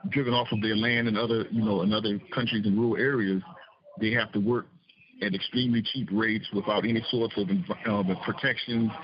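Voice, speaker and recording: A man leading a political discussion, explaining in a halting, didactic way. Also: lowish voice, fairly even intonation, highs cut off. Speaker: discussion host.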